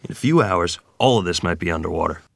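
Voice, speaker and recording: A man's voice: deep voice, animated, fast talker, vocal fry, slight background noise. A man playing a gritty, determined 1920s river ferryman in a screenplay table read, terse and commanding. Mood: tense, stern.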